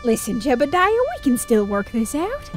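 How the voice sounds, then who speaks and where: A woman's voice: sits high, huge pitch swings, normal speed, over music. A woman playing a Wild West con artist with an earnest, dramatic delivery in an audio drama. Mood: placating. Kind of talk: western audio drama acting.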